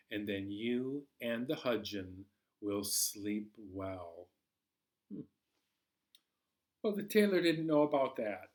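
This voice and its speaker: A man telling a children's story as a library storyteller, dramatically, with pauses and drawn-out words. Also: medium pitch.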